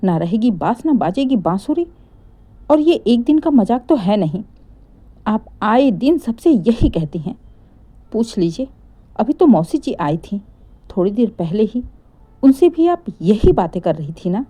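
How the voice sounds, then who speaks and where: A woman's voice: mid-range voice, big pitch swings, a little slow, mellow. A woman voicing a composed young daughter-in-law in a family story, polite but pointed and mock-innocent. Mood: coolly pointed.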